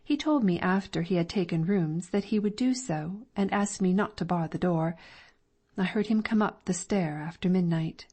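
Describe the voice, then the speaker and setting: A woman's voice: lowish voice, moderate pace, mellow, breathy edge. A woman reading the part of a respectful older landlady who plainly and deferentially reports to a detective in an audiobook. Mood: earnest.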